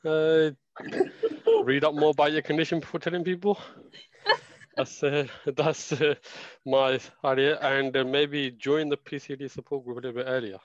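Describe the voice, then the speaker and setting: A man's voice: fairly high pitch, steady tone, moderate pace, no room sound. A man in his early twenties speaking casually and hesitantly on a group call. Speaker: panel participant, data analyst. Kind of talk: casual chat. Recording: dry, muffled video call.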